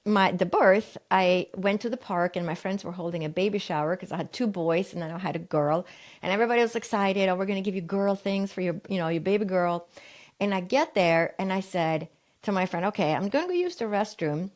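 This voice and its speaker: A woman's voice: mid-range voice, brisk. Swedish-raised woman telling her story. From Sweden. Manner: animated retelling, acts out quoted voices. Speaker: guest. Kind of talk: personal storytelling.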